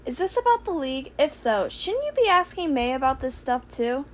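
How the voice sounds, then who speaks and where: A mild, earnest teenage boy, acted by a woman in a fan fiction audiobook. The voice is high and casual, modest in tone. There is clear noise in the home recording. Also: very animated, moderate pace. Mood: mild, curious.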